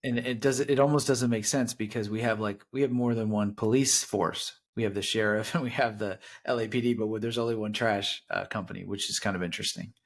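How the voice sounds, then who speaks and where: Host: man, somewhat low voice, relaxed and chatty, leans on "like". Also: brisk, hardly any pauses. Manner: casual, many "like" fillers. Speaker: podcast host. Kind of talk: casual interview. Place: Los Angeles.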